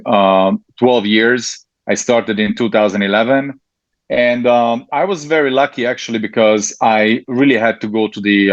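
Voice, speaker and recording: Brief: Hungarian-accented man, guest in an interview, halting, full of ums, dry lo-fi audio. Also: fairly low pitch, dry recording. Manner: hesitant, frequent ums and restarts. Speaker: network marketing leader, guest. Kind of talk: interview answers.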